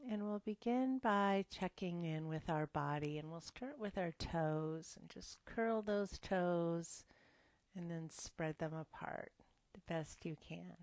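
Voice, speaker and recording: A woman leading a gentle yoga and breathing class, speaking softly and sometimes dropping to a whisper. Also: on the low side, dry recording. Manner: slow, soft-spoken and gentle, drifting into whispers. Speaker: yoga instructor.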